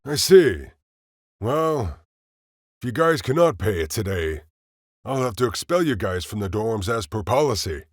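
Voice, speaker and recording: A man plays a genial guild officer in a fantasy audio drama. He speaks formally and patronizingly to his juniors, with an animated rise and fall, recorded very dry. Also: fairly low pitch, measured pace, no room sound. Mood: politely firm. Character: courteous, firm male guild officer collecting payments.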